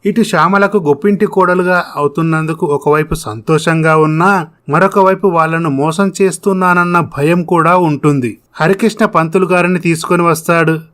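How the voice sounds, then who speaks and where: Casting: narrator for scripted moral tales, mid-range pitch, telling the story between dialogue. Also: steady tone, normal speed, a bit bright.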